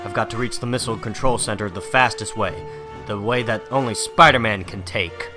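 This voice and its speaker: Guy playing young superhero, earnest n cocky, urgent shouty lines, comic drama w music behind. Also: on the low side, brisk, voice pushed down, natural voice. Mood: determined. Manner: urgent, exclamatory. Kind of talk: dramatic comic book reading.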